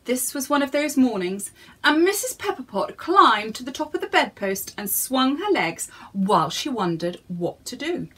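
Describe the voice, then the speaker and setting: A woman's voice: high voice, sing-song, moderate pace, sharp tone, breathy edge. A woman reading a storybook to young children in a theatrical way, addressing her listeners directly.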